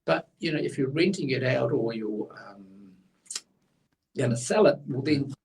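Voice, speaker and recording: A man's voice: mid-range voice, animated, moderate pace, darkish tone, distant mic, a little hiss or hum, poor audio. A man, blunt and opinionated, explaining a tax rule in casual chat as co-host, a little away from the mic.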